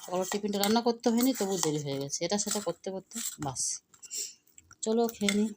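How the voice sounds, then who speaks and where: A woman's voice: medium pitch, lively intonation, quick pace. A middle-aged woman chatting casually and homely for a family vlog. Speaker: family vlogger, mother. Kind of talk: casual home vlog chat. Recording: noisy home recording with clicks.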